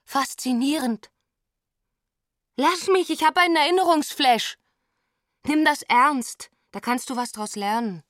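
A woman's voice: high-pitched, measured pace, pauses often, a bit bright, dry recording, clean studio sound. An actress playing a wistful, intense woman confiding about a lost love in a radio drama, in short theatrical phrases that linger on words. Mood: fervent.

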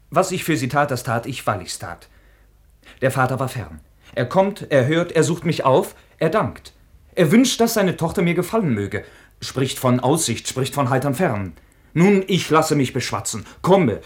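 An actor playing a proud, impetuous young knight, curt and ironic, in a 1950s German radio play. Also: male voice, on the high side. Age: young adult.

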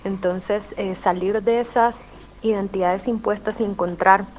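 A woman's voice: mid-range voice, fairly even intonation, a little slow, darkish tone, slight background noise, rough recording. A woman from Guatemala, a rapper and guest on a radio show, speaking thoughtfully with frequent restarts. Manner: thoughtful, searching, many restarts. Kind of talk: reflective interview talk.

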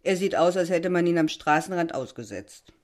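An actress as a tipsy, brittle wife in a stage-like audio drama. Her voice sits low for a woman. She hands down opinions with languid, sardonic certainty. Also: expressive, moderate pace, a little mellow, close to an everyday voice. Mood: tipsy, mocking. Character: tipsy, opinionated, brittle married woman, guest of another couple. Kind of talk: theatrical audio drama acting.

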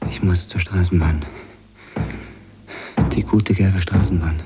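A man's voice: sits low, steady tone, slow, very noisy. A man giving an intense, brooding dramatic reading of literary texts in the first person for a radio feature. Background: loud music under the voice.

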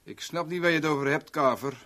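A man's voice: fairly high pitch, fast, sharp tone. An actor playing a hostile, hot-tempered man, agitated, curt and commanding, in a 1970s radio play. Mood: hostile, angry.

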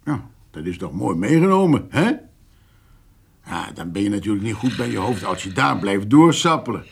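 Radio drama role: persuasive older farmer slowing down, creaky low voice, hearty, hesitant, wheedling. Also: male voice, sing-song, full character voice. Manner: hesitant, wheedling, full of small interjections. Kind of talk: naturalistic radio drama dialogue.

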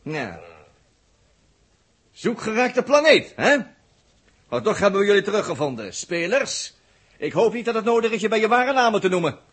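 A sardonic space adventurer from a 1960s radio play. The voice sits high for a man, with a rough husk. He speaks emphatically, mocking and challenging like a stern official. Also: sing-song, moderate pace, pauses often, a bit bright, exaggerated voice. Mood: mocking authority. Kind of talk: 1960s radio drama acting.

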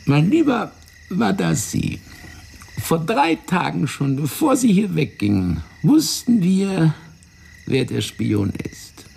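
An actor playing a fat, sweating, smug intelligence official in a German radio drama, condescending, drawling and then abruptly speeding up. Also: male voice, a little high, sing-song, takes it slowly, gravelly, darkish tone, breathy edge, heavily acted. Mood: placating.

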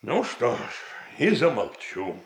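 An actor playing a blunt, grumbling courtier in a radio tragedy, folksy, stubborn and sneering. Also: male voice, medium pitch, wildly swooping pitch, takes it slowly, roomy sound, heavily acted. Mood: sullen. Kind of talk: Russian stage tragedy as radio play.

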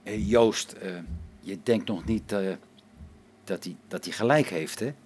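A man playing an excitable, uneasy space station crewman in a radio play, with an agitated manner. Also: on the low side, unhurried, slight background noise, natural voice. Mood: uneasy doubt.

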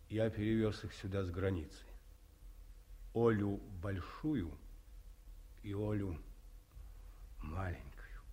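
An actor playing a weary, dignified war colonel in a 1950s radio drama, speaking in slow, measured words. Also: male voice, on the low side, warm tone. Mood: grieving. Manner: slow, measured, solemn speech.

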